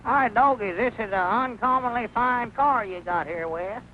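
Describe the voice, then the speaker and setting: An actor playing a simple, agreeable country fellow with a rural Southern American accent and a folksy, hesitant manner, in 1940s film comedy style. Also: male voice, extremely high pitch, normal speed. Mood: eager. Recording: dull, noisy old film soundtrack.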